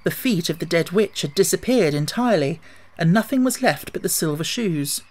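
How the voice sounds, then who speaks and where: A man's voice: high voice, lively intonation, moderate pace, a little mellow. A man reading a children's audiobook as scripted narration.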